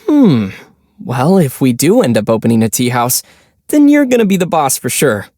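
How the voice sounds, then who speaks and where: A man voicing a calm young adventurer in a video game, easygoing and measured, with a gently wry, teasing manner. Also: medium pitch, no echo, clean studio sound. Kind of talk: video game character dialogue.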